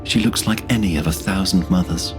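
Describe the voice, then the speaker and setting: A man narrating scripted fantasy as a storyteller in a solemn, measured manner. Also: low-pitched, over music.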